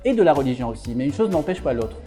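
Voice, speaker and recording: A man's voice: medium pitch, big pitch swings, fast talker, warm tone, soft background music. A middle-aged man from Algeria, a psychiatrist and author, answering interview questions with many fillers and restarts.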